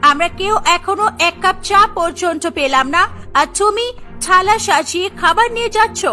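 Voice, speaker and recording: A narrator puts on a spoiled young cartoon woman, very high and sharp. She is bossy and contemptuous, barking demands and tossing in English phrases over background music. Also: moderate pace. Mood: demanding. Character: bossy, spoiled young wife bullying her sister-in-law. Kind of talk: animated moral-tale cartoon acting.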